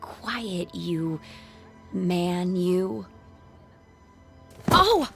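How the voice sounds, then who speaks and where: A woman's voice: fairly low pitch, very animated, very slow, vocal fry, a bit bright, soft background music, full character voice. A woman acting in a radio drama as a forward, teasing, impatient young woman with a curt edge. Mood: indignant.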